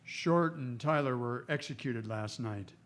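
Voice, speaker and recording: A man with an American accent playing a steady, terse Old West marshal in a radio western. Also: mid-range voice, unhurried, lightly acted. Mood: probing.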